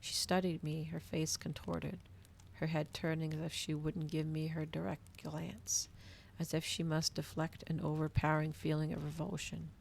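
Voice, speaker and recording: A woman reading a novel aloud in a hushed ASMR style, slipping into whispers, close to the microphone. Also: sits low, little pitch movement, normal speed, vocal fry, slight background noise.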